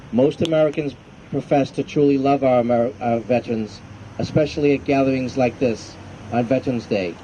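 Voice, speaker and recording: A man reading a formal prepared ceremonial speech as a veterans' group commander, solemn and measured. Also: medium pitch, mellow. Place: outdoor evening ceremony in a park. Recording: muffled, faint outdoor hum and traffic noise.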